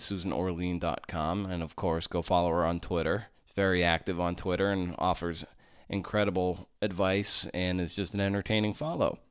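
A man hosting a podcast with a very deep voice. He chats casually, with plenty of fillers and restarts. The sound is close and dry. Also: smooth, no room sound. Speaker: podcast host.

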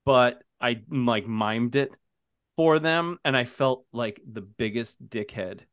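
A man, a horror writer who teaches English, chatting on a podcast, laughing easily and telling quick anecdotes. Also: medium pitch, fairly bright tone, clear, resonant tone, dry recording, clean studio sound. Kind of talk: casual podcast chat.

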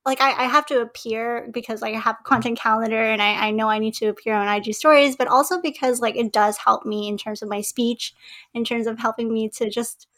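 A woman with a soft, girlish voice, speaking as a podcast guest in casual chat, hesitant with many fillers and restarts and frequent giggles. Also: a little high, fairly even intonation, brisk. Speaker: podcast guest, web designer.

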